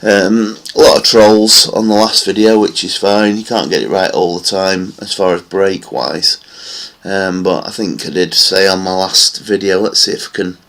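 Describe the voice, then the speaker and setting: A man's voice: low voice, fairly even intonation, normal speed, hardly any pauses, fairly bright tone. A man giving casual, rambling commentary on silver price charts, with many fillers. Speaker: market analysis vlogger.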